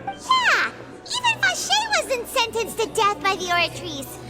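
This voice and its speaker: Squeaky, excitable little fairy. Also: female voice, a little slow, over loud music, over a noisy crowd, full character voice. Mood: shocked. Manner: refers to herself by name, exclamatory. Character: small excitable childlike fairy companion, talks in third person. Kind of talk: video game voice acting.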